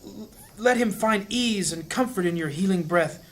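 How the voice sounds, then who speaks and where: A man's voice: high voice, normal speed. A man playing an anxious, devout priest and healer in a fantasy audio drama, with an earnest manner. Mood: solemn, devout.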